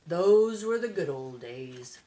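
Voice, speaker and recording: A woman's voice: fairly low pitch, lively intonation, takes it slowly, mellow. A woman voicing a playful, nostalgic old grandfather cat in a children's storybook, drawing out words in a folksy way.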